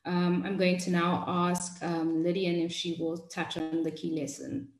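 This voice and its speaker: A woman's voice: lowish voice, little pitch movement. A young woman moderating a panel on a video call, politely handing the word to each speaker. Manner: polite, brisk hand-offs. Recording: echoey room on a video call.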